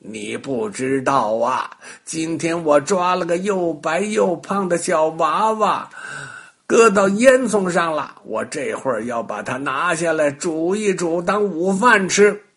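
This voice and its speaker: A man playing a gruff, greedy old witch. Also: a little high, expressive, slow, fairly bright tone, full character voice. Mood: grumbling. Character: greedy old witch woman who eats children.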